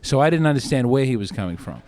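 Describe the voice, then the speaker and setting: A man's voice: mid-range voice, expressive, fast, darkish tone. A man from Australia hosting a podcast, chatting casually with a dry, wry sense of understatement. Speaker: podcast host.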